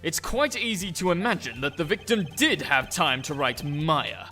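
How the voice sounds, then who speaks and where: A man playing a haughty prosecutor, lively high pitch. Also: normal speed, exaggerated voice. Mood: smug, composed. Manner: formal, condescending. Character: smug, haughty male courtroom prosecutor, coolly composed. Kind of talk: video game fandub acting. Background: music.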